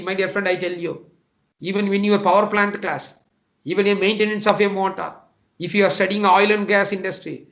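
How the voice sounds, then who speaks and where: A man with a high voice and a Tamil Nadu Indian English accent lectures students over a dull, lo-fi online call. He talks earnestly and repeats his points, often calling his listeners "my dear friend". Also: fairly bright tone. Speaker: guest speaker. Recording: dull lo-fi online talk audio.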